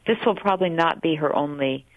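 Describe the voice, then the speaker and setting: A woman with a low voice speaks as a guest professor on a radio show. She explains eagerly and at length. Her line sounds a little dull and muffled. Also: brisk, no echo. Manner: enthusiastic, explanatory.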